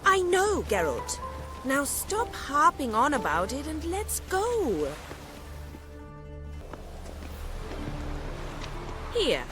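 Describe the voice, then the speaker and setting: A woman's voice: high-pitched, huge pitch swings, takes it slowly, exaggerated voice. A woman playing a spirited, haughty young noblewoman in a video game, well-spoken and formal in her phrasing. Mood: playful, defiant. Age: young adult. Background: background music.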